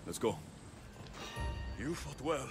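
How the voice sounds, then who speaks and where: An actor playing a blunt, impatient mercenary warrior hero in a video game, speaking in a dry, terse way. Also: male voice, medium pitch, expressive, slow pace, music in the background. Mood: calm, resolved. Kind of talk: video game cutscene acting.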